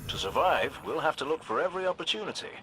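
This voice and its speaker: An actor playing a courteous, wry older militia sniper with a British accent, speaking in droll, old-fashioned phrases for a video game. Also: male voice, on the high side, big pitch swings, fast, sharp tone, slight background noise. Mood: calm, helpful. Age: middle-aged. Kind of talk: video game character acting.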